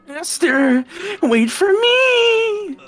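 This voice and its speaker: A woman's voice: extremely high pitch, big pitch swings, very slow, full character voice. A woman voicing a hysterical, devoted teenage girl who whines, shrieks and stammers in a game. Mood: desperate. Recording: music behind the voice.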